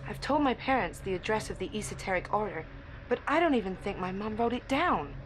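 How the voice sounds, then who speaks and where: An actress playing a besotted young bride drawn into a cult, delivering an intense, breathy diary monologue in an audio drama. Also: female voice, mid-range voice, lively intonation, fairly quick, soft background music, lightly acted, poor audio. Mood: bitter.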